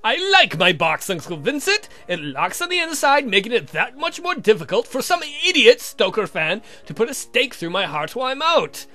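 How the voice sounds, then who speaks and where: A man puts on an irritable vampire count for a visual novel game, ranting and weary. The voice sits high and swings widely in pitch, with a sharp, forceful edge. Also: big pitch swings, normal speed, hardly any pauses, faint music behind, exaggerated voice. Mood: defensive. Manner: exasperated, ranting. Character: grumpy foul-mouthed male vampire count, irritable and weary.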